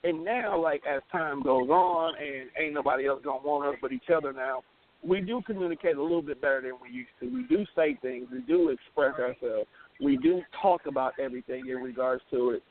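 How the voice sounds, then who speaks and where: A man's voice: medium pitch, brisk. A man chatting casually as a talk show co-host, slangy and emphatic, repeating words to make his point. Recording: tinny phone line with hiss and noise.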